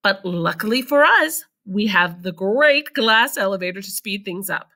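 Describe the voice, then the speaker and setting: Lady reading as a bubbly eccentric candy man, bright voice, bounces all over the place, bit of gravel in it. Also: mid-range voice. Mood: delighted. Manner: bubbly, theatrical, fast excited exclamations. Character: eccentric cheerful male candy maker.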